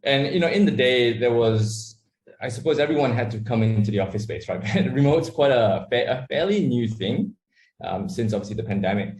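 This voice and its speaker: A man who was born in Malaysia and raised in Australia, a startup founder answering interview questions in a relaxed, rambling way with many fillers. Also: mid-range voice, brisk. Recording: echoey room.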